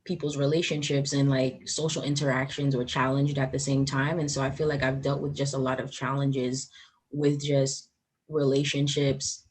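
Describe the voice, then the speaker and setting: A woman's voice: very deep voice, little pitch movement, normal speed, darkish tone, slightly rough. A woman in her twenties hosting a podcast in casual, upbeat chat, full of "like" and "um". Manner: chatty, upbeat, lots of "like" and "um". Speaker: podcast host.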